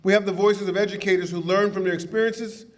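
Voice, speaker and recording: A man speaking as mayor at a civic ceremony, delivering measured prepared remarks after a self-deprecating joke. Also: high voice, steady tone. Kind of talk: formal ceremony speech.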